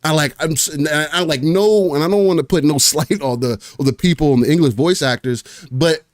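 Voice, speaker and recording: A man's voice: a little high, brisk, no room sound, studio quality. A man hosting a casual gaming podcast, talking loosely with lots of fillers and restarts. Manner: rambling, many fillers and restarts. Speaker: podcast host.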